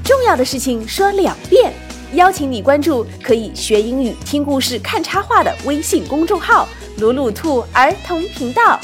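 A woman's voice: sits high, very animated, normal speed, hardly any pauses, over music. A woman hosting a children's programme, delivering a scripted announcement to young listeners.

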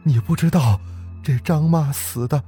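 A panicked manservant in a horror tale acted out by a male narrator. He stammers and gasps in halting bursts, the voice raised with fear, faint music underneath. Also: on the high side, dark tone, voice pushed up, lightly acted. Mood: terrified. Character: terrified male servant, usually bold, now stammering.

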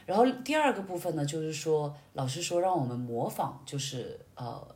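A woman sharing experiences in casual conversation, explaining things in a reflective, expressive way. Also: sits low, normal speed. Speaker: podcast guest, amateur acting student.

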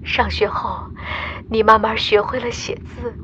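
A woman's voice: fairly high pitch, level tone, a little slow, bright, forceful tone, muffled recording, a little hiss or hum. A woman playing a tender, emotional mother speaking heartfelt words to her child in a radio drama voice message. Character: tender, emotional astronaut mother addressing her young daughter.

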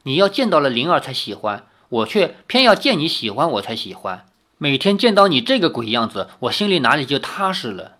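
A man voicing a spirited, pampered young woman skilled in martial arts, speaking in a raised, softer voice. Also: mid-range voice, very animated, a little fast. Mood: stubborn, playful.

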